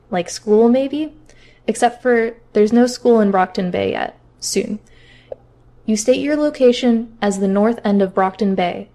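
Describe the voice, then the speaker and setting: A woman's voice: medium pitch, darkish tone, natural voice. A woman voicing a stern, sarcastic internet forum moderator in an audiobook, curt and skeptical. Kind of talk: audiobook character acting.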